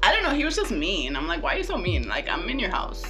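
A woman's voice: on the low side, wildly swooping pitch, quick pace, over music. A woman co-hosting a casual podcast chat, telling a story with frequent laughter and swearing.

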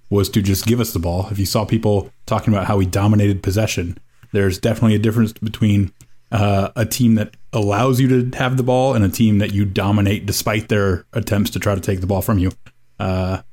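A man's voice: lowish voice, darkish tone. A man co-hosting a casual soccer podcast, giving a wry, rambling analysis full of fillers. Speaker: podcast co-host.

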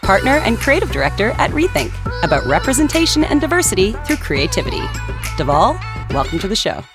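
A woman's voice: medium pitch, wildly swooping pitch, a little fast, over music. A woman hosting a podcast, reading a scripted intro and then asking interview questions in an upbeat way. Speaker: podcast host.

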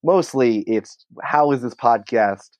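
A man's voice: medium pitch, slow, no room sound. A man talking casually and at length as a podcast interview guest. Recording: dry, slightly dull sound.

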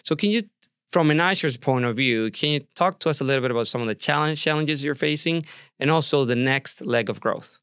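A man's voice: a little high, a little fast, fairly bright tone, clear, resonant tone, no room sound, studio quality. A man hosting an interview podcast, asking questions in a friendly, curious way. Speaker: podcast host.

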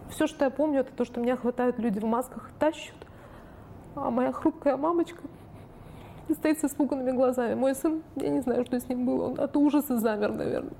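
A woman's voice: fairly high pitch, dark tone, lightly acted. A woman giving a first-person recollection of a personal ordeal. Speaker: reader of interview testimony. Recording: faint background noise.

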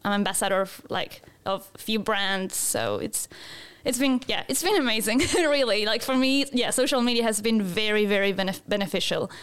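A woman's voice: medium pitch, animated, moderate pace, hardly any pauses, a bit bright, clean tone. A woman from Chile, a photographer chatting casually in an interview, with many fillers and restarts and frequent laughs. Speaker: photographer and guest.

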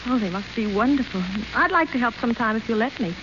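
An actress playing a whimsical, spoiled young socialite in a 1930s radio play, dreamy and petulant. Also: female voice, a little high, lively intonation, quick pace, close to an everyday voice. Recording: muffled hissy 1930s radio broadcast.

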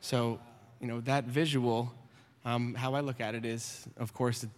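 A man giving a church testimony, calmly reading scripture. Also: medium pitch, unhurried. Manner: relaxed, reads scripture steadily. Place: church sanctuary. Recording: church hall reverb.

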